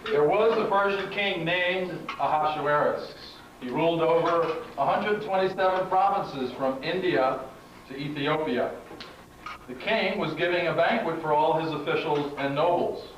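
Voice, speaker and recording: Male puppet-show narrator, raised pitch, distant echoey recording, noticeable background noise. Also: measured pace, fairly bright tone. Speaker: host and narrator. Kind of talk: live puppet show storytelling. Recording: very echoey hall, distant mic, clear noise.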